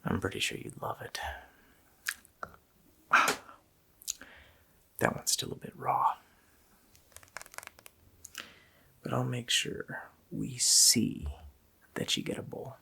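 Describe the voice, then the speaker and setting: A man voicing a gloating, child-eating Christmas demon in a gravelly, breathy whisper, drawling casually and menacingly in an ASMR roleplay. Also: mid-range voice, big pitch swings, moderate pace, sharp tone, slight background noise, exaggerated voice. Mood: gleeful, menacing.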